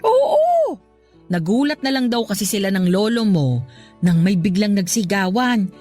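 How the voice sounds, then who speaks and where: A chatty middle-aged mother in a radio drama, with a medium-pitched voice. She is frank and teasing but caring, over faint background music. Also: very animated, unhurried, mellow. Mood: teasing, gossipy. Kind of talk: Tagalog radio drama acting.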